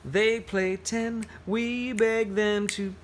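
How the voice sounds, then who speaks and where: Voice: mid-range voice, steady tone, very slow, a little hiss or hum. A person reading a picture book aloud to children, playful, with singsong rhymes, scat sounds and casual explanations.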